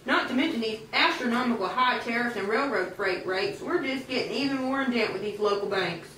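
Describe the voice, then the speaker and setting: Woman acting an earnest old-time man, highish, echoey. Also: brisk, a bit bright, close to an everyday voice. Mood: fed up. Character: earnest 19th-century American man, farmer or reformer. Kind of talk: amateur scripted puppet show. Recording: echoey room, distant mic, clear noise.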